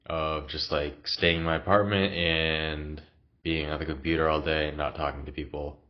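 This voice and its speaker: Very deep-voiced man, rambling vlog. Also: little pitch movement, normal speed, dull audio. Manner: hesitant, rambling, many fillers. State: has a cough. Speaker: vlogger. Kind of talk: casual reflective vlog. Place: new apartment.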